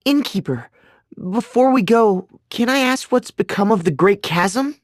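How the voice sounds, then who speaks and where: An actress playing an earnest young heroine who leads a party of adventurers in a video game, composed and questioning. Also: female voice, a little high, lively intonation, measured pace, no echo, clean studio sound. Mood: concerned, resolute.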